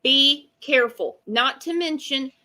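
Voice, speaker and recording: Blunt woman with a bright, forceful voice. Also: sits high, unhurried. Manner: blunt, colloquial, emphatic. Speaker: co-host of a live true-crime chat show. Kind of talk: casual opinionated chat.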